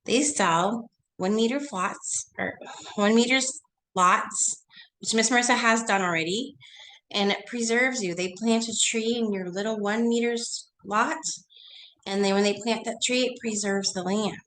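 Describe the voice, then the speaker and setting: A woman's voice: a little high, a little slow, a bit bright, low-quality recording. A woman teacher with a cold, her voice nasal and fading, reading a story aloud to children and asking them questions in a chatty, coaxing way during an online lesson.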